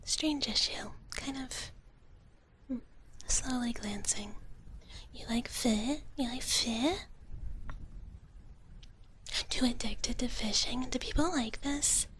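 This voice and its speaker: A woman whispering softly and breathily in a gentle ASMR livestream chat, close to the microphone. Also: on the high side, slight background noise. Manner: slow, gentle, speaks of herself in third person as a dragon character. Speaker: live streamer hosting ASMR.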